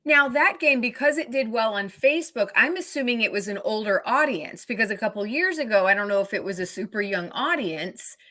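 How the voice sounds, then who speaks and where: A woman's voice: on the high side, animated, brisk, few pauses, sharp tone. A woman hosting a casual interview, talking in an informal, upbeat and encouraging way. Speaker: interview host.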